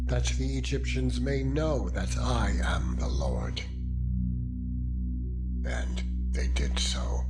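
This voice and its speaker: A man reading scripture as a solemn, dramatized narration, with deliberate, weighty phrasing. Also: mid-range voice. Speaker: Bible narrator. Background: music.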